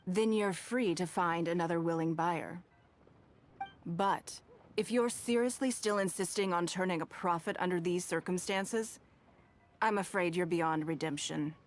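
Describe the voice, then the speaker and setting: A woman playing a powerful, shrewd businesswoman in a video game, speaking in a measured, formal, reproachful way. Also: on the low side, slight background noise, lightly acted. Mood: cool authority. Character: poised, powerful businesswoman, sternly moral and shrewd.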